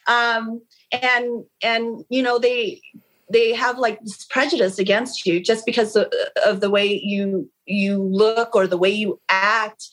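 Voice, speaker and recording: A woman whose first language is Khmer speaks as an interview guest at a medium pitch. She talks frankly and with animation, often going back to restart a phrase. The feel is a candid, casual conversation. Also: a bit bright, poor audio. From Long Beach, California. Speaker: interview guest, real estate agent.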